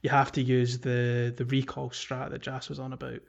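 A man co-hosting a casual gaming podcast, explaining things in a halting, conversational way. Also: mid-range voice, monotone, creaky, muffled recording, a crowd in the background. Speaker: podcast co-host. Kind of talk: casual video game podcast discussion.

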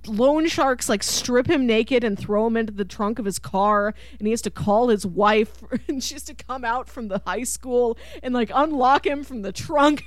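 A woman hosting a casual film discussion podcast, talking in an emphatic, animated manner. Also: on the high side, no room sound. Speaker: podcast host.

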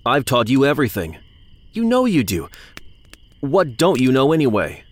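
A man's voice: mid-range voice, huge pitch swings, normal speed. A man playing a sullen, withdrawn young man in an audiobook, speaking tersely and moodily. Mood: bitter. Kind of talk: audiobook character dialogue.

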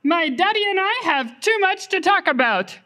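Woman voicing an earnest high-pitched little boy puppet. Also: expressive, pushed and bright, full character voice. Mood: innocent, eager. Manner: halting, pompous when praying, otherwise plain childlike talk. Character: earnest young boy puppet, worried about his sister. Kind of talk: children's church puppet show acting.

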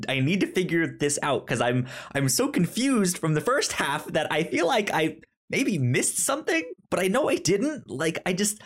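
A podcast co-host chatting casually about a film, haltingly, often repeating words and acting out their own reactions. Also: medium pitch. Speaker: podcast co-host reviewing films. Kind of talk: casual chat, film review.

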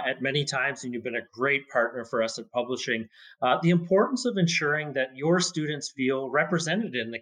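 A man's voice: mid-range voice, fairly bright tone, poor audio. A man hosting a podcast interview in an effusive, wordy conversational way, stacking one question onto the next. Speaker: podcast host.

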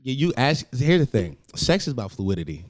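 A young man in casual podcast banter, animated and teasing, often laughing through his points. His pitch swings widely and he talks at a quick clip. The sound is dry and close. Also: no room sound. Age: 20s. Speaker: podcast co-host.

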